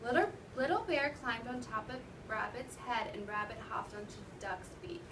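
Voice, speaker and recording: A woman teacher reads interactively to children in a fairly high voice, often wondering aloud to prompt them. She is recorded from a distance in an echoey classroom. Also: animated, moderate pace. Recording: roomy, noisy classroom from far off.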